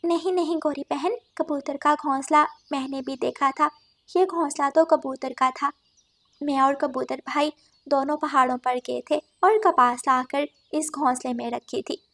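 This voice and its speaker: Woman as a timid, high-voiced little cartoon bird. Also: fairly even intonation, unhurried, a bit bright, no echo. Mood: timid protesting. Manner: anxious, polite, pleading. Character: timid, polite little female songbird. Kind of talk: Hindi children's cartoon dialogue.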